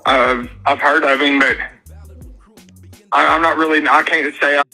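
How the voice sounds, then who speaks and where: A hesitant man speaking as a missing girl's father, stopping and restarting often. His voice is bright and sharp, with little rise and fall, and it comes over a phone line with faint music behind. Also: on the high side, fairly even intonation, unhurried, creaky. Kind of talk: phone call on a live stream.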